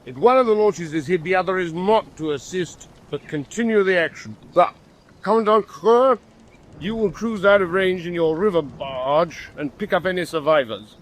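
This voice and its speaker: A man acting a stubborn, unflappable British naval commander in a radio drama, with a measured, formal, commanding British delivery. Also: high-pitched, natural voice. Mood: calm, commanding.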